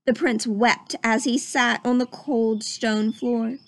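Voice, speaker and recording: A woman reading a children's story aloud as narrator, with expressive, dramatic storytelling. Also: on the high side, slow, no echo. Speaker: storybook reader.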